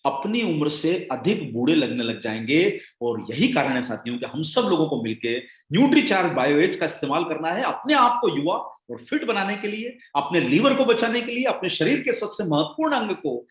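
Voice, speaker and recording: A man's voice: a little high, very animated, normal speed, few pauses. Rousing male trainer in an echoey room. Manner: rousing, addresses listeners as friends, swings between loud and hushed. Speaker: sales trainer. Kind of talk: motivational product training talk.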